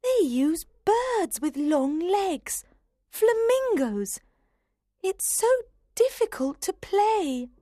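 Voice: very high voice, big pitch swings, extremely slow, warm tone, dry recording, clean studio sound, voice pushed up, heavily acted. A storybook narrator voicing a curious, polite but outspoken young girl. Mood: puzzled. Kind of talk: storybook character voice for learners.

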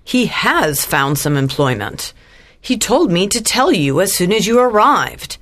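An actress playing a meddling, romantic middle-aged aunt in a dramatized audiobook, effusive and dramatic. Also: female voice, mid-range voice, very animated, normal speed, fairly bright tone. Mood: gushing.

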